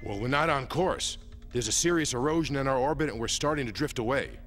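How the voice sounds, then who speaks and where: A confident young cadet from a dubbed sci-fi anime, acted by a man. His voice is medium in pitch and matter-of-fact. It carries a long reverb, with faint music behind. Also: fairly quick, a bit bright, close to an everyday voice. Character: confident, practical male space cadet, young adult. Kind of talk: English anime dub acting. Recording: reverberant sound, long echoing tail.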